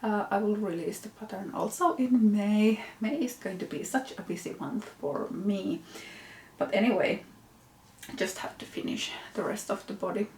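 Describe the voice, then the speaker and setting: A woman's voice: mid-range voice, normal speed, darkish tone. Finnish-accented woman, casual knitting host, roomy echo and faint hum behind. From Finland. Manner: chatty, with frequent fillers and self-corrections. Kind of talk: casual show-and-tell chat.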